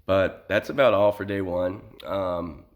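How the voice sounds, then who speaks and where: An American man talking casually in a personal vlog, halting as he searches for words, with a sore throat and a voice starting to give out from illness. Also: low voice, fairly even intonation, narrow, tinny audio. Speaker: vlogger sharing illness experience.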